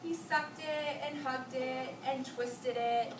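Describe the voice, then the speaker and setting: A woman with a high voice reads a picture story to children expressively and stops to chat with them about it. She is recorded at a distance in an echoey, noisy room. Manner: expressive, pausing to ask and echo children's answers. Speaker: teacher reading aloud to children. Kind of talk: storybook read-aloud with questions to children.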